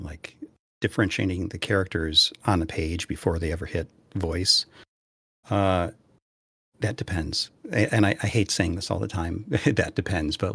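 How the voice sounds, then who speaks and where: Man, very deep voice, dry close recording, reflective casual panelist. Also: fairly bright tone, husky, no echo. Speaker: podcast panelist and voice actor. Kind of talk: casual chat.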